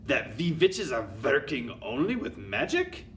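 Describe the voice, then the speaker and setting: A man's voice: mid-range voice, sing-song, moderate pace, a bit bright, far from the mic, a little hiss or hum. A man voicing a tyrannical witch queen, thundering and menacing with odd foreign phrasing. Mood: commanding.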